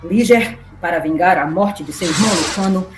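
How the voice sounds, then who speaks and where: A woman's voice: lowish voice, normal speed, noisy background. A woman with a Brazilian accent giving a scripted, dramatic narration of an epic. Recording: roomy echo, music underneath.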